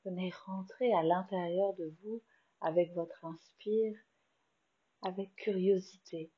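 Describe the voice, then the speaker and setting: Casting: French woman, meditation teacher, very slow calm delivery, slightly muffled lo-fi sound. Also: fairly low pitch, slightly rough. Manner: slow, soft, soothing guidance with long pauses. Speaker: yoga nidra teacher. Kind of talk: guided meditation.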